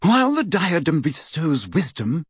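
A man's voice: fairly high pitch, very animated, normal speed, slightly rough, no room sound, studio quality, exaggerated voice. A man voicing a proud, haughty young woman ghost in an audiobook, speaking in a stiff, formal, guarded manner.

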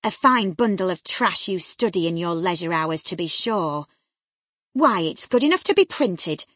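A woman's voice: mid-range voice, animated, unhurried, slightly rough, dry recording, clean studio sound. A woman doing a scornful stern housekeeper. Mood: scolding. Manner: scolding, scornful, severe.

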